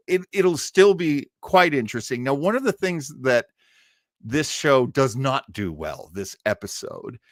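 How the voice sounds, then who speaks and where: An American man hosting a casual live stream, talking in a halting, theatrical, self-deprecating way. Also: medium pitch, a bit bright, no room sound, clean studio sound. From United States. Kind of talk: casual live chat introducing an old radio show.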